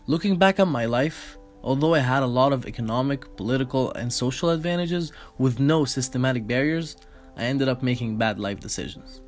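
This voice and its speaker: Man reading as a thirty-year-old ex-gambler, earnest and regretful, mid pitch, faint music underneath. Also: brisk, close to an everyday voice. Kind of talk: scripted monologue.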